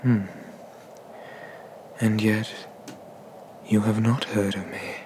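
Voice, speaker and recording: A man voicing an ancient, haughty and menacing dragon god of the sea in a breathy, pompous whisper for an ASMR roleplay. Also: fairly low pitch, level tone, background noise. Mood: brooding, imperious. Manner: slow, pompous, condescending, with drawn-out pauses.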